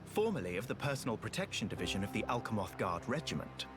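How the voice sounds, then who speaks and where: A man's voice: fairly low pitch, very animated, fast, music in the background. A man voicing an obsequious, scheming former royal guardsman in a video game, with florid, wheedling, courtly speech. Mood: fawning.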